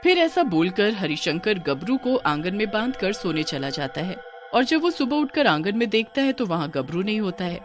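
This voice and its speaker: A woman's voice: medium pitch, very animated, moderate pace. A woman giving scripted narration of a village horror tale as storyteller.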